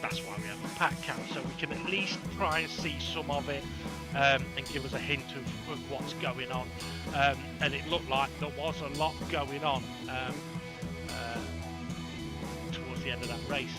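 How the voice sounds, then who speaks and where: A man commentating on an online sim race, chatty and excitable, with lots of ums. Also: medium pitch, moderate pace. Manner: excitable, chatty, with many fillers. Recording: loud music under the voice.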